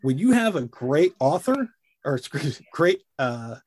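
A middle-aged man chats about his work as a voice actor. His voice is a little husky and sits a touch high, and his pitch jumps around a lot as he talks with animated energy. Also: a little slow. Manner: animated, demonstrates character voices mid-sentence. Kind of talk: casual interview chat.

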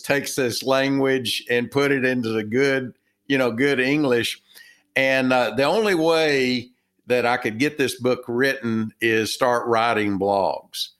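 A middle-aged man from Texas with a Texan accent, a guest telling his story in a halting way with many fillers and restarts. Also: mid-range voice, a bit bright. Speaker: guest, retired business owner and author. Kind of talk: casual interview talk.

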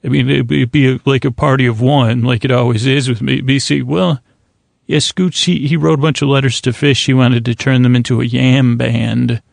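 A man with a very creaky, gravelly voice at a middling pitch, recorded dry and close. He hosts a bedtime podcast in a rambling, silly monologue. His sentences hesitate, loop back and drift off on tangents. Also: fairly even intonation, normal speed, dry recording.

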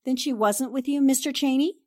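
Sharp, inquisitive lady reporter, played by a woman, high-pitched, dry studio, 1940s radio drama style. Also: a little fast, dry recording, lightly acted. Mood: pointed. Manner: pointed, inquisitive.

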